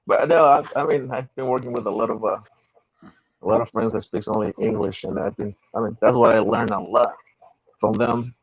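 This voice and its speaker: A soft-spoken man from Mexico City with a Mexican Spanish accent, a native Spanish speaker answering hesitantly with fillers over a video call. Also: lowish voice, fairly even intonation, brisk, husky, no echo. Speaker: guest illustrator. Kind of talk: interview answers. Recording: muffled, dry video call audio.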